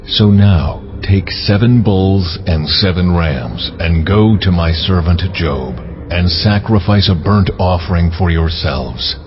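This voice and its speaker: An actor playing God as a stern, majestic deity in a dramatized scripture reading, grand and solemn in delivery. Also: male voice, low-pitched, slow, hardly any pauses. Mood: angry, commanding. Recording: muffled audio under a music bed.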